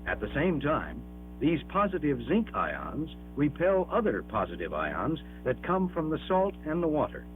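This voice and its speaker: A man reads a scripted educational film narration in a measured, emphatic, explanatory manner, on an old 1960s film soundtrack. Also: fairly high pitch, huge pitch swings, faint music behind. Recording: dull band-limited 1960s 16mm film soundtrack.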